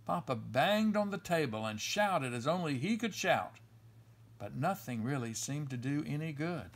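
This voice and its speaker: A man reading a children's story aloud in an expressive storytelling style. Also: fairly high pitch, moderate pace, slight background noise. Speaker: storybook reader.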